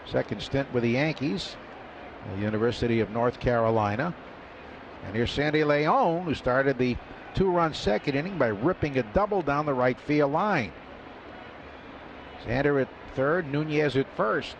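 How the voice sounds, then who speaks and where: A man calling a baseball game live on radio, his voice jumping up in pitch and volume on big plays. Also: normal speed. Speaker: radio play-by-play announcer. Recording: lo-fi radio broadcast with loud ballpark noise.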